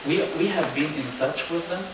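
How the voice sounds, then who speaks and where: Man in an echoey room. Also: a little high. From Palestinian territories. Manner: halting, many hesitations. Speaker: briefing witness. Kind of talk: speaking at a panel briefing. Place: hearing room. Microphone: table mic, recorded from far camera. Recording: echoey room from far off, noisy.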